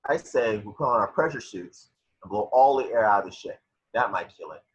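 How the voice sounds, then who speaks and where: A man's voice: on the low side, expressive, a little fast, natural voice. A man in an amateur table read playing a blunt, combative spaceship engineer, on muffled lo-fi audio. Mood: blunt, agitated.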